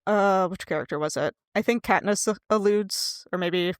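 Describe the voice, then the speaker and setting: A woman co-hosting a casual book discussion in a conversational way, quick to laugh. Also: mid-range voice, smooth, no echo, clean studio sound. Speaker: podcast co-host.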